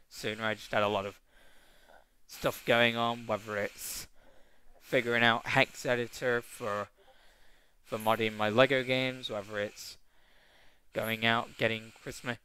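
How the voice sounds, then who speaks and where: A young man talks through a wrestling show review in a loose, rambling way, stopping and restarting often. His voice is bright and smooth, with a slight tickly cough. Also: medium pitch, rough recording. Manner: rambling, hesitant, many fillers and restarts. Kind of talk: casual review chat.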